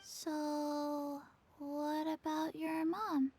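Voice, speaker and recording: A woman voicing a small, emotionless zombie girl in a video game, soft, deadpan and halting. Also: high voice. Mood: blank, slow.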